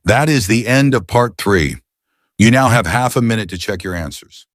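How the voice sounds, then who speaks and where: A man's voice: on the low side, lively intonation, moderate pace, no room sound, studio quality. A man reading scripted exam instructions in a formal, neutral manner as the announcer.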